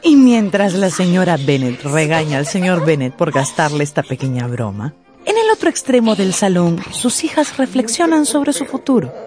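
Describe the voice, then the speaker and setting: Animated woman narrating a radio drama. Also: lowish voice, over music. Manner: playful, dramatic pauses.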